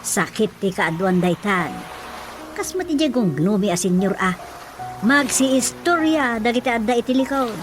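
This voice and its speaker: A woman's voice: on the high side, animated, normal speed, close to an everyday voice. An actress with an Ilocano Filipino accent playing a frank, motherly older village woman in a radio drama. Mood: advising. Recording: music bed behind the voice.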